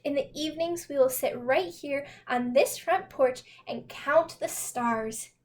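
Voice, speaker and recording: A woman's voice: sits high, a little slow. A woman voicing an eager, cheerful male frog who coaxes and calls out in a children's storybook. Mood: coaxing, hopeful. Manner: calls out loudly and brightly, coaxing. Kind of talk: children's storybook read-aloud.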